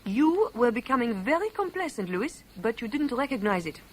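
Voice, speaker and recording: An actress playing a blunt but affectionate wife and old friend in a 1970s radio drama, forthright and emphatic. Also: female voice, a little high, sing-song. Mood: candid. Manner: forthright, emphatic, measured phrasing. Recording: faint hiss on old radio broadcast.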